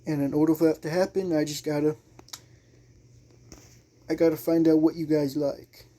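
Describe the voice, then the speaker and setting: A young man doing casual gameplay commentary as a channel host, halting and sometimes muttering. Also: a little high, level tone, warm tone.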